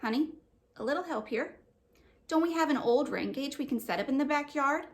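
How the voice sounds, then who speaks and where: Encouraging mother, voiced by a woman, kind, patient, comforting, fairly high voice, children's storybook. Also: animated, fairly quick. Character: kind, encouraging mother of two young girls. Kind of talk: children's chapter book read-aloud.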